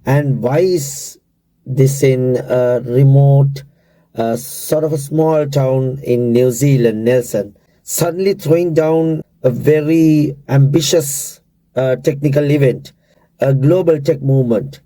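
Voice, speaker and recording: A man hosting an interview, asking slow, deliberate questions. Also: mid-range voice, dark tone, dry recording, rough recording. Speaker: interviewer, host.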